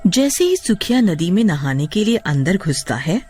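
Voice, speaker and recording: Male narrator, scripted horror story for kids, lively intonation, music behind. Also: sits high, moderate pace, husky.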